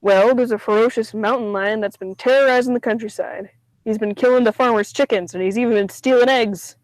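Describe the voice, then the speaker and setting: A man's voice: high-pitched, fairly even intonation, brisk, no echo, natural voice, low-quality recording. A man plays a backcountry game warden in a radio comedy sketch. He is folksy and teasing, with drawling cowboy talk. The sound is close and dry.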